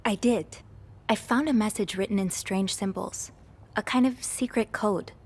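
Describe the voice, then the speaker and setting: An actress playing a young, curious and polite hotel maid in a video game. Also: female voice, medium pitch, moderate pace, breathy edge. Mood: intrigued.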